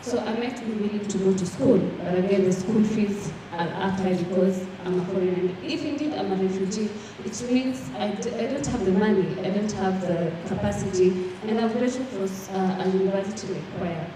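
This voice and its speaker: A woman's voice: mid-range voice, a little fast, hardly any pauses. A young woman from Rwanda speaking earnestly as a panelist, with frequent fillers and restarts. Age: young adult. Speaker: panelist, student representative. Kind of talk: panel discussion answer. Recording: echoey hall from far off with background noise.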